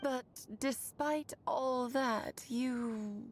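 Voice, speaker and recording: A woman's voice: high voice, drawn-out words, faint music behind. A woman playing an affectionate, theatrical female magician in a video game, musing expressively and drawing out her phrases. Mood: cautioning. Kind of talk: scripted video game dialogue.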